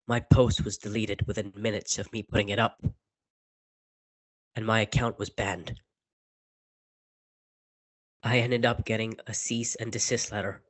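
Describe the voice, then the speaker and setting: Slow, deliberate male horror narrator. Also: fairly low pitch, breathy edge. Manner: slow, deliberate, with dramatic pauses and hushed moments. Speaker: narrator of a dark fiction podcast. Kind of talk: dramatic first-person horror story narration.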